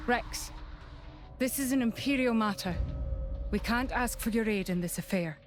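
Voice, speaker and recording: An actress playing a stern, dutiful imperial inquisitor in a video game, speaking formally and with authority. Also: female voice, on the high side, moderate pace, soft background music, natural voice. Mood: measured. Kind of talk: dramatic video game acting.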